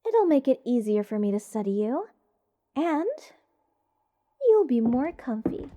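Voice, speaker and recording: A woman's voice: a little high, big pitch swings, warm tone, highs cut off. A woman playing a gushing, eccentric mage besotted with cursed creatures, in a rambling, whimsical fantasy ASMR roleplay addressed to the listener. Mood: dreamy, fond. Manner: slow, rambling, doting, theatrically whimsical. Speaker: voice actor.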